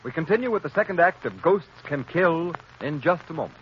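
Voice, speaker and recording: A man's voice: a little high, animated, fairly quick. A man announcing a scripted advertisement in emphatic, salesmanlike 1930s radio style. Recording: muffled, noisy 1930s radio recording.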